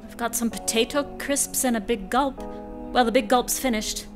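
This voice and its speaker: A woman's voice: fairly high pitch, moderate pace, faint music behind, natural voice. An actress playing a chatty, tender-hearted woman on a camping road trip in a radio drama.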